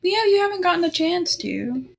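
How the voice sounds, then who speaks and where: A woman's voice: very high voice, very animated, normal speed, gravelly. A young woman from California, chatting playfully with friends on a voice call and laughing often. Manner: giggly, playful, teasing. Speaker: podcast co-host. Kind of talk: casual chat among friends. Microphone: own mic on a voice call.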